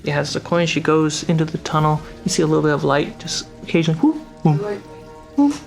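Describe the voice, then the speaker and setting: Low-voiced game master narrating a fantasy game. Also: very animated, fast talker, gravelly, soft background music. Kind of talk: narrating a tabletop roleplaying game.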